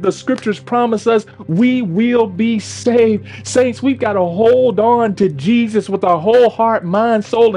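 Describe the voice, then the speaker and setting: Male pastor, high voice, exhortative sermon delivery with emphatic refrains, music underneath. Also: a little mellow. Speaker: pastor preaching. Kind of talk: sermon to an online congregation.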